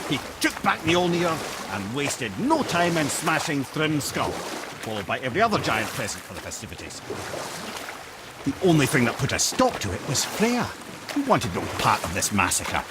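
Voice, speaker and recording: Wise old Scottish sage for a video game: eloquent, wry, very lively intonation, clear watery background noise. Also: male voice, medium pitch, normal speed. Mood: amused storytelling. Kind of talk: dramatic storytelling in a video game.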